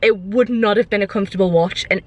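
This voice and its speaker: Young woman, British accent, casual vlog chat, informal, rambling, medium pitch. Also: quick pace, dull audio. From United Kingdom. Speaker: vlogger.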